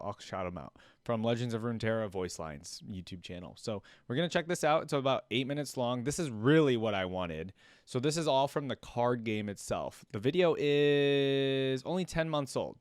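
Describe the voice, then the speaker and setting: A man's voice: mid-range voice, no echo, clean studio sound. A man hosting a reaction video, giving casual, rambling, conversational commentary.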